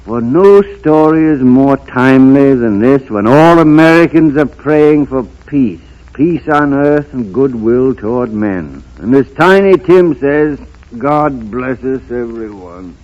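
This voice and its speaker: A man's voice: medium pitch, lively intonation, slow. A man speaking in a formal 1930s radio announcing style. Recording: dull old 1930s radio recording.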